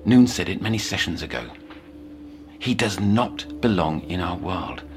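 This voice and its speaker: An actor playing an obsessive, scholarly therapist, speaking formally and articulately in a brooding audio drama. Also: male voice, sits low, expressive, unhurried, faint music behind. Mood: brooding, determined. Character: obsessive, scholarly male therapist-researcher keeping session notes. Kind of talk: dramatic monologue, audio journal.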